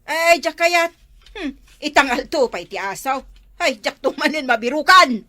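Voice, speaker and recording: A woman playing an excitable, complaining household member in a comedy drama, with an Ilocano Filipino accent. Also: sits high, moderate pace. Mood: grumbling.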